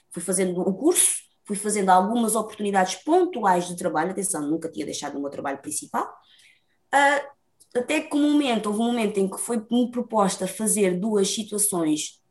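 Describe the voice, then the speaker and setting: Chatty Lisbon woman telling her story. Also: mid-range voice. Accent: Lisbon Portuguese. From Portugal, Lisbon. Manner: lively, talkative, laughs often. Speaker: interview guest, dancer. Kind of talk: casual storytelling interview.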